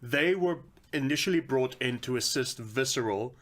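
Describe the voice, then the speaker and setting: Give this voice to a man co-hosting a casual podcast. He talks at an ordinary mid pitch and states his opinions bluntly. Swearing comes easily into his chat. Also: animated, normal speed, fairly bright tone. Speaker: podcast co-host.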